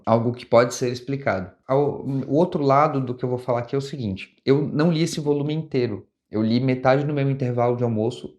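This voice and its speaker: A man's voice: medium pitch, darkish tone. A young adult man with a Brazilian Portuguese accent, talking casually as host of a comics review channel, often restarting and rephrasing as he goes. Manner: conversational, with frequent restarts and rephrasing.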